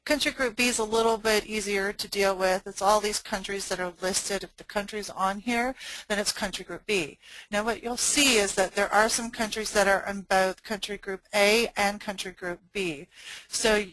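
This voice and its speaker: A woman's voice: mid-range voice, fairly even intonation, moderate pace, fairly bright tone, no room sound, rough recording. A woman trade compliance consultant presenting a webinar, walking listeners through regulations step by step. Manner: explanatory, instructive.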